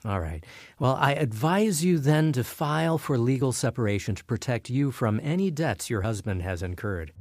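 An actor playing a businesslike attorney giving counsel in radio drama dialogue. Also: male voice, medium pitch, lively intonation, moderate pace, a little mellow, lightly acted. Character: businesslike, advising male attorney.

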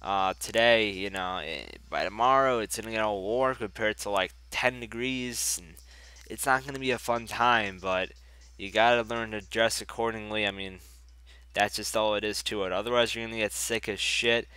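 A man's voice: fairly low pitch, normal speed, pushed and bright, smooth, no echo. A young man with a stuffy, congested voice, casually rambling and swearing as he comments on his game. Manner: rambling, profane, chatty. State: congested from a cold. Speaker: gaming YouTuber. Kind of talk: casual commentary over gameplay.

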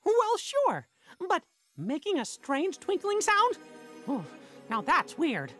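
A man voicing a small, cheerful, earnest cartoon mouse hero in a squeaky falsetto, with a folksy, eager manner. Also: wildly swooping pitch, takes it slowly, music in the background, exaggerated voice. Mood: curious. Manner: gee-whiz, folksy, eager. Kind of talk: animated video game cutscene acting.

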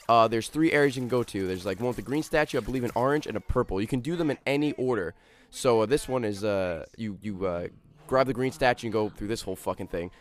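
A young man with a raspy, worn voice casually talking viewers through a video game walkthrough. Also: medium pitch. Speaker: video game guide host. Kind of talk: casual gameplay walkthrough commentary.

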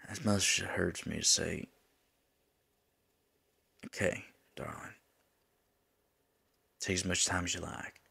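A man playing a lovesick demon in an intimate ASMR roleplay, pleading tenderly with his angel lover in a gravelly, husky voice and folksy turns of phrase. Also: low voice, steady tone, slow, pauses often, sharp tone, exaggerated voice. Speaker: voice actor in an audio roleplay.

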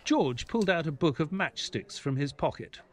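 A man playing a detective's loyal assistant, narrating an audio drama in a measured storytelling way. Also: on the high side, sing-song, a little hiss or hum. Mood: measured, dramatic.

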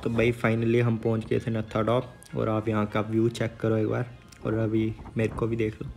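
A man's voice: on the low side, monotone, moderate pace, gravelly. Casual male vlogger, music behind. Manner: informal, addresses viewers as bro. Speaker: travel vlogger. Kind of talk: casual vlog chat to camera.

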